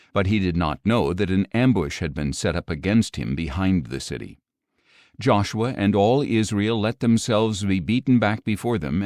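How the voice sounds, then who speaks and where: A man narrating scripture from a script in a measured, solemn manner. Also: low-pitched, darkish tone, no echo, studio quality. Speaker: audiobook Bible narrator.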